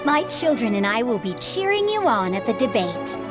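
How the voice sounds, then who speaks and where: A woman playing a composed, wise, encouraging mother in an English anime game dub, with a measured manner. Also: a little high, sing-song, over music.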